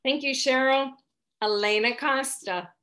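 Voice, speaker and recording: A woman moderating an online panel on a video call, speaking in a measured, formal and explanatory manner. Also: high voice, a bit bright.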